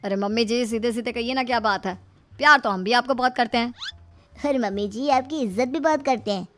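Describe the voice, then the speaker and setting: A woman's voice: a little high, fairly quick, fairly bright tone, soft background music, natural voice. A woman voicing lazy, freeloading young sons-in-law in a breezy, wheedling manner for a comic story. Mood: breezy flattery.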